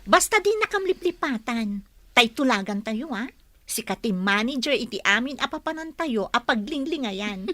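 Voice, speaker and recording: A woman acting a high-pitched, scheming friend. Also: expressive, moderate pace, pushed and bright. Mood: playful, scheming. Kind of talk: audio drama acting.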